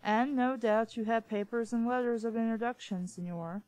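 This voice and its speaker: A woman reading the lines of a sly, pompous little round man in a storybook, with a high, musical voice. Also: fairly even intonation, moderate pace, a little mellow, no echo, close to an everyday voice, poor audio.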